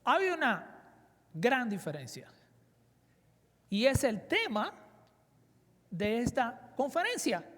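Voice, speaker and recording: A man in his forties from the Dominican Republic with a Dominican Spanish accent, preaching earnestly at a conference and weaving in personal stories. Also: sits high. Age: 40s. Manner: earnest, emphatic, with personal anecdotes. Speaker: conference speaker. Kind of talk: sermon. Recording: reverberant hall.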